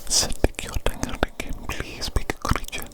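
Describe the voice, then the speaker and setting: A woman's voice: normal speed, natural voice. A woman playing a playfully evil doctor in an ASMR medical roleplay, whispering soothingly and repeating her words. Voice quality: breathy unvoiced whisper. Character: playfully evil doctor gently examining a big creature patient. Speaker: ASMR performer.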